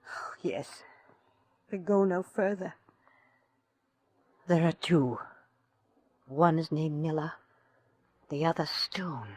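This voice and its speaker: A woman's voice: low-pitched, slow, pauses often, darkish tone, breathy edge, dry recording, exaggerated voice. A proud, genteel widow in a 1950s radio drama. She speaks in formal, imperious phrases with a theatrical delivery, guarding her dignity. The sound is dry, with no room around it. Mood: defeated. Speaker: actress.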